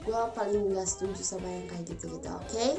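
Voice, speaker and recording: A young woman in her early twenties with a Sundanese Indonesian accent chats to camera in a relaxed, slangy way. She speaks at a middle pitch, and her talk leans emphatic. Clear music plays behind her. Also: a little fast. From Bandung, Indonesia. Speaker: vlogger.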